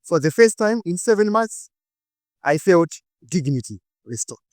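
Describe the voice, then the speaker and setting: Male, Malawian accent, fairly high pitch, dry close sound, rehearsed public speech, deliberate phrasing, dramatic pauses. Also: very animated, no echo. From northern Malawi. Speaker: speaker giving a prepared talk. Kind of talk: rehearsed inspirational public speech with personal storytelling.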